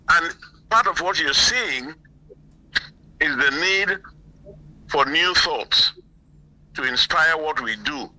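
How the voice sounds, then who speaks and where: A man giving earnest, deliberate political commentary on a call, repeating phrases for emphasis. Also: a little high, sharp tone, poor audio. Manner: halting, deliberate, emphatic repetition. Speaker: guest caller, political commentator. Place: remote call.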